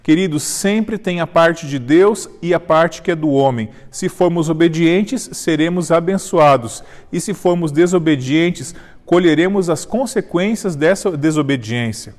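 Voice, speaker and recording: Male Bible teacher, 30s, slightly high voice, earnest and measured, long reverb. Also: a little mellow. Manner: earnest, measured, reads scripture aloud slowly. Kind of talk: devotional Bible lesson with closing prayer. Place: indoor studio set with armchair and flowers.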